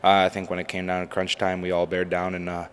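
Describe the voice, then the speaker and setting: A young male athlete gives casual postgame answers. He talks fast in a low, level tone, over faint ambient noise. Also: monotone. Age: young adult. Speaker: ice hockey player. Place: indoors before a sponsor backdrop at an arena. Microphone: handheld microphone held by interviewer.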